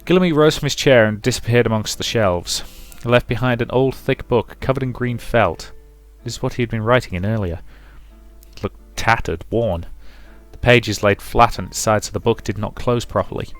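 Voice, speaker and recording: A man's voice: medium pitch, moderate pace. A man reading a visual novel's story narration aloud as a let's play narrator. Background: music.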